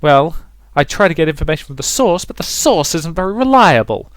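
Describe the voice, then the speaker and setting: Man voicing an angry, high-pitched jealous young fiancée. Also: sing-song, a little fast, no room sound. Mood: exasperated. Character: furious jealous young fiancée in a visual novel.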